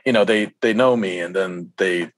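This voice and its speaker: A man giving casual, reflective interview answers as a musician, in a halting, rambling way. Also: low-pitched, no room sound.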